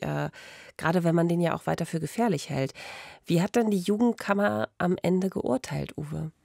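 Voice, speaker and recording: A woman's voice: fairly low pitch, vocal fry, darkish tone, no room sound. A woman presenting a true-crime podcast conversationally, close on a studio microphone. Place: small radio studio. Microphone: close studio microphone, headphones.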